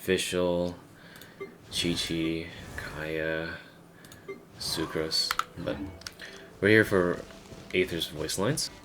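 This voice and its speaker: Male gaming commentator: deep register, casual, hesitant think-aloud delivery, audible background noise. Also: fairly even intonation, drawn-out words, long pauses. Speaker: gaming video creator.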